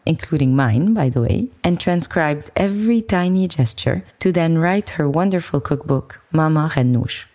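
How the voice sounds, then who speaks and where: A woman podcast host with a fairly low, very mellow voice. She presents and asks questions in the manner of a prepared interview show, with a soft, dark tone. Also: gravelly. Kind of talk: scripted introduction and interview questions.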